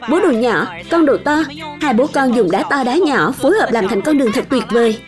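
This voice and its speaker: A woman playing a kindly, encouraging young mother in a cartoon dub, gentle and approving. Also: high voice, lively intonation, fairly quick. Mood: gently approving. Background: background music.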